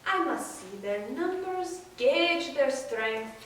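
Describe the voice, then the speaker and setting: A woman's voice: sits high, lively intonation, slow, a bit bright, a little hiss or hum, exaggerated voice. Woman acting a proud hero father, hugely echoey. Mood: resolute. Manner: declamatory, solemn, emphatic. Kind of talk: stage dramatic interpretation of epic poetry. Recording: distant, very echoey room.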